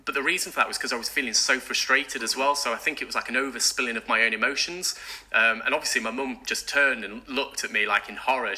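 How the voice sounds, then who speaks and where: A man's voice: medium pitch, sharp tone. A man who grew up with British Sign Language as his first language, talking casually as a studio guest and often hesitating with ums and restarts. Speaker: event organizer, guest. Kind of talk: casual interview chat. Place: radio studio.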